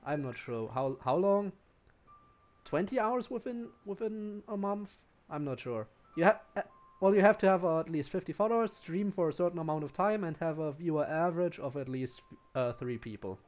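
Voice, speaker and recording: A man's voice: on the high side, normal speed, darkish tone. A man giving casual livestream commentary as a game streamer, explaining things in a hesitant, rambling way. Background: faint music.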